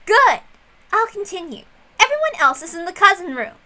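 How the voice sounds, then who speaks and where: A woman's voice: very high-pitched, very animated, moderate pace, sharp tone, pitched above the performer's own voice, full character voice. A woman voicing a smug, intelligent young female detective in a visual novel reading, theatrical, commanding and ruthless. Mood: brisk, triumphant.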